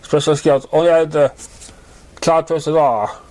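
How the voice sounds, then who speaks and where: A man's voice: fairly high pitch, fairly even intonation, unhurried, darkish tone. A man reading a story aloud as an amateur narrator.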